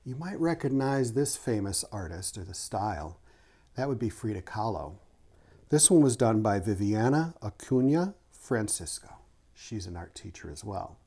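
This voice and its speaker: A man's voice: on the low side, sing-song, normal speed, dark tone, slightly rough. A man who is an author and art teacher, reading a rhyming children's picture book aloud and adding short teacherly explanations. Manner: rhythmic reading of rhyming verse, teacherly asides.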